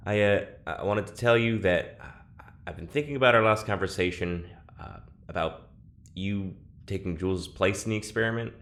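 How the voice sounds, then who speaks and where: An actor playing a guarded, awkward, reluctant male test subject in an audio drama, speaking in a halting, subdued way. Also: fairly low pitch, a bit bright. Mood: uneasy. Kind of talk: naturalistic audio drama acting.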